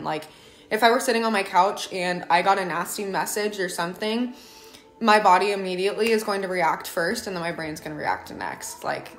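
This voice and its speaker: A young woman with a hoarse, raspy voice from a lingering cough, chatting earnestly in a casual livestream and giving advice from her home kitchen into a phone at arm's length. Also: mid-range voice. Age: young adult. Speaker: livestreaming mom and team leader. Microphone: phone camera at arm's length. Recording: echoey kitchen room sound.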